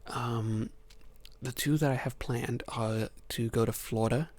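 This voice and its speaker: A young man from Ohio hosting a soft-spoken ASMR Q&A, answering questions hesitantly with many ums. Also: mid-range voice, fairly even intonation, a little slow. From Ohio, USA. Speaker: ASMR channel host.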